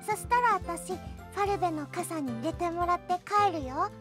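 Casting: placid sweet girl for game radio drama; tiny, very high voice, unhurried, over music. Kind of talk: Japanese anime game radio drama acting.

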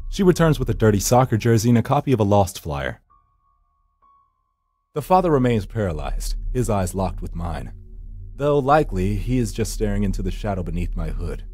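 A man's voice: lowish voice, a little mellow, breathy edge, exaggerated voice. A man voicing a wry, secretive supernatural finder who narrates a horror visual novel with dry, theatrical sarcasm. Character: wry, secretive male hired finder from the edge of death. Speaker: narrator and lead character. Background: background music.

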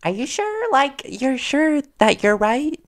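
A man playing a worried reporter boyfriend in an intimate audio roleplay, earnest and halting as he gently confronts his partner. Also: very high voice, big pitch swings, heavily acted. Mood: shocked, flustered.

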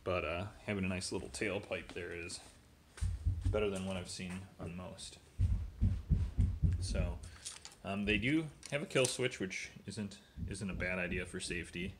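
Low-voiced man, halting casual walkthrough. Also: a bit bright, slight background noise. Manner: halting, thinking aloud while inspecting. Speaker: host showing a repair project. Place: indoors in a garage. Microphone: handheld phone camera, off screen.

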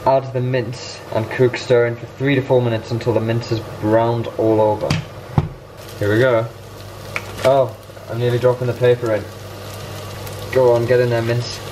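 A young man talks his way through cooking, bemused and mocking himself. His voice sits at a middle pitch and he is recorded on a camera in a home kitchen. Sizzling and stirring can be heard clearly behind him. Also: little pitch movement, measured pace, pauses often, noisy background. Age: young adult. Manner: bemused, self-mocking, chatty. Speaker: vlogger. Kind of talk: casual cooking vlog.

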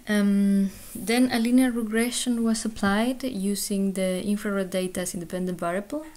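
A woman research scientist giving an explanatory conference presentation, hesitant, with frequent pauses and ums. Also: medium pitch, fairly even intonation, a little mellow.

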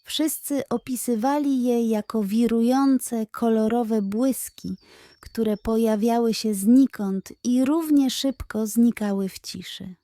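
Slow, high-voiced woman storyteller. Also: dark tone, no echo. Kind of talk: scripted bedtime story narration for children.

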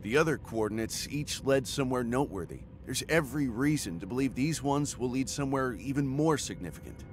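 An actor voicing a determined, driven mercenary commander in a video game, speaking earnestly and decisively. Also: male voice, mid-range voice, expressive, fairly quick, slight background noise, lightly acted. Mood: confident.

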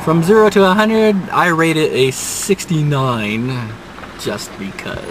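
An older man reviewing restaurants from inside his car, talking in an unhurried, rambling way with dry, self-mocking asides. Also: fairly high pitch, a little hiss or hum, rough recording. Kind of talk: casual restaurant review vlog. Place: inside a parked car, daytime. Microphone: dashboard camera at close range.

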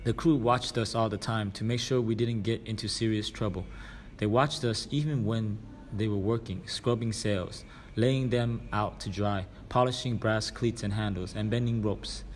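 A man's voice: lowish voice, fairly even intonation, moderate pace, a little hiss or hum. A man reading a children's storybook aloud as scripted narration. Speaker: reader.

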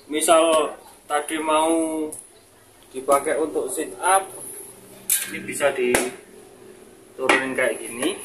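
A young man giving an informal, casual product demonstration to viewers. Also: a little high. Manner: informal, addresses viewer as boss. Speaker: seller presenting a product review. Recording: noisy home video with clicks.

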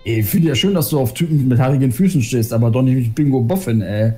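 A man's voice: mid-range voice, quick pace, warm tone, soft background music. A young man with a cold, grumbling and exasperated as a co-op gaming partner. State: has a cold. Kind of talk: casual gaming chat.

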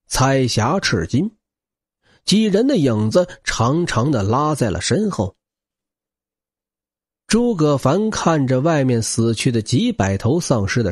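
Highly animated male audiobook narrator, slightly husky. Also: no room sound, studio quality. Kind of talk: dramatic scripted narration of a novel.

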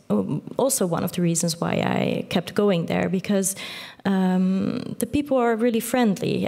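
A woman in her thirties, a journalist whose first language is Dutch, answering thoughtfully with many pauses on a stage panel. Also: medium pitch, creaky, mellow. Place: theater stage with audience. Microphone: small headset mic.

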